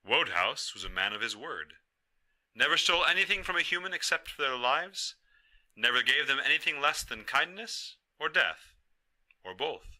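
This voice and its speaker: A man telling a folktale in a measured, emphatic storyteller's delivery. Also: mid-range voice, sharp tone, rough recording. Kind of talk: dramatic folktale narration.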